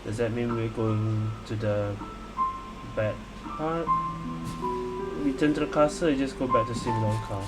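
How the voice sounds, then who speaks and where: A male gaming streamer thinks aloud in casual commentary. He is hesitant and often repeats words, and loud music plays behind his voice. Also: mid-range voice, fairly even intonation, a little slow, long pauses, low-quality recording. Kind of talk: casual game reaction commentary.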